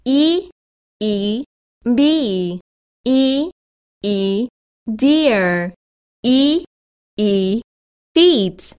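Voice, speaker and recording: A woman reading questions and example words for a children's language drill, with deliberate, drawn-out enunciation. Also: fairly high pitch, animated, darkish tone, clean tone, no echo, clean studio sound.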